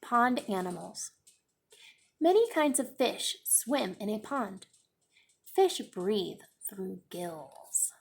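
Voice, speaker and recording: A young woman teacher reading a picture book aloud for children in a classroom, pausing to explain words and pages. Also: mid-range voice, gravelly, a little hiss or hum. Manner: slow, expressive storytime reading with explanations.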